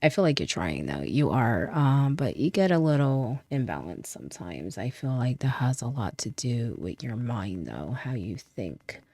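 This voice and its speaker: Host, woman: very deep, dark voice, stuffy from a cold, casual chatty tarot reader who slips into soft whispers. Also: sing-song, normal speed, few pauses, dry recording. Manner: casual and chatty, with frequent laughs and fillers. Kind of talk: casual tarot reading to camera.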